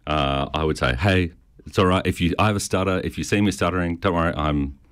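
A man's voice: low voice, quick pace, a bit bright, clear, resonant tone. A middle-aged Australian man with a stutter, answering interview questions in an informal, self-deprecating, storytelling way. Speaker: pastor.

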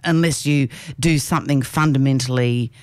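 A woman's voice: rumbling low, moderate pace, a little mellow, smooth, no room sound, studio quality. An Australian woman on a talk panel, speaking hesitantly with many fillers and restarts, and growing exasperated when she makes a point. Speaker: panel guest. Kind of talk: casual panel chat.

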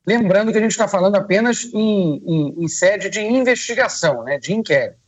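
A man with a cold giving measured radio news commentary, pausing often with hesitations. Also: high-pitched, expressive. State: hoarse from a cold. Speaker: political commentator.

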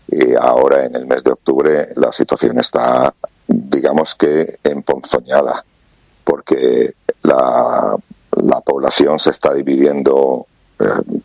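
A man's voice: a bass voice, creaky, a little mellow, no room sound, highs cut off. A man from Spain with a Spanish accent, speaking as a guest commentator in an earnest way, restarting phrases with fillers. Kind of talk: remote interview commentary.

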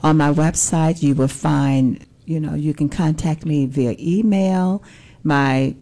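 A woman in her sixties speaks with a Southern US accent as an interview guest. Her voice is notably low and very mellow. She talks conversationally, in measured phrases that sometimes hesitate and repeat. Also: steady tone. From Birmingham, Alabama. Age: 60s. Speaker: political candidate, interview guest.

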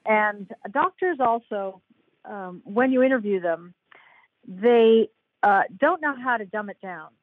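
A woman's voice: mid-range voice, expressive, slow pace, no room sound. Older woman on a tinny remote line. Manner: enthusiastic, laughs often. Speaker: guest author. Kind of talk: casual podcast chat. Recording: tinny phone-like line, dry.

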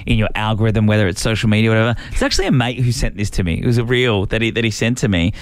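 A man's voice: on the low side, rapid-fire, dry recording. An Australian man hosting a morning radio show, chatting with enthusiasm in a studio. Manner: chatty, enthusiastic, lively storytelling. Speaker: radio host. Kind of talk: casual chat. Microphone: studio mic.

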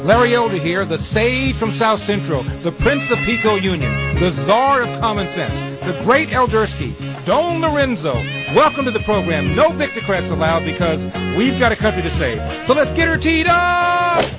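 Man doing a high, bouncy, boastful radio show intro over a loud music bed. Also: big pitch swings, normal speed, hardly any pauses. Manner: boastful, hyped announcer delivery. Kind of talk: pitched-up promo intro.

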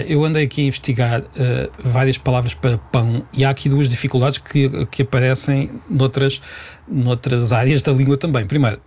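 A man's voice: medium pitch, a little mellow. A man with a European Portuguese accent explaining things in unscripted conversation, in a didactic, emphatic way, rephrasing as he goes. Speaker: language expert, regular guest.

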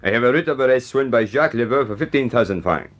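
An actor playing a stern French bailiff in a period radio drama, formal, curt and official. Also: male voice, medium pitch, animated, fast, highs cut off, close to an everyday voice. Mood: stern, firm. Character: stern, unbending French bailiff serving a writ.

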